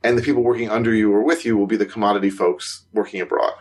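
Husky-voiced man chatting animatedly. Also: racing. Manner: animated, rising pitch on surprising points, laughs while talking. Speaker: podcast co-host, consultant. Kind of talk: casual conversational chat.

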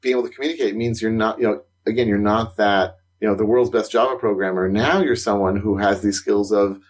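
A man co-hosting a podcast in casual conversation, animated and quick to laugh, his voice climbing when something surprises him. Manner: animated, rising pitch on surprising points, laughs while talking. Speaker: podcast co-host, consultant.

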